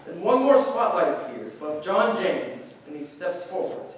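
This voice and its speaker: A man's voice: high voice, sing-song, moderate pace. A man reading stage directions as scripted narration at a staged play reading. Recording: very echoey room, distant mic, faint noise.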